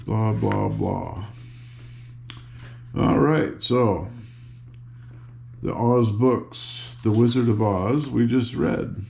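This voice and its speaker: An older man reads a storybook aloud like a grandfather at ease. His voice sits low and is heavily creaked, with a very slow pace. He is informal and drops into casual asides. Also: darkish tone, slight background noise. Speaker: storybook reader and host. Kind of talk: reading a children's book aloud with casual asides.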